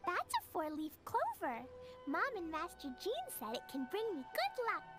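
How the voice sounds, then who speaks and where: Super high bubbly little girl, sweet and eager, swoopy voice. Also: wildly swooping pitch, moderate pace, loud music behind the voice, heavily acted. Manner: chirpy, childlike, eager. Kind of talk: game cutscene voice acting.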